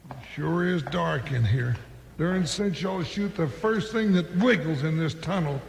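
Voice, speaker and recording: An actor playing an excitable, folksy, talkative western sidekick in a 1950s radio drama. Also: male voice, on the high side, measured pace, vocal fry. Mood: wary muttering. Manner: folksy drawl, yells when excited. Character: excitable, talkative old-west sidekick deputy, comic and loyal. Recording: hall reverb, faint hiss of old radio recording.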